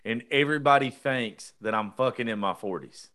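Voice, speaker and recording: A man hosts a casual chat show. His voice sits at a middle pitch. He talks bluntly and jokingly, with plenty of swearing thrown in. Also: moderate pace, sharp tone. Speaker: show host.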